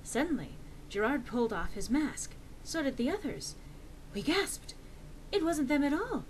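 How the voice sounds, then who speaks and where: A woman giving a dramatic, comedic reading of fan fiction, theatrical, emphatic and deliberately earnest. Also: high-pitched, very animated. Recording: noisy amateur home recording.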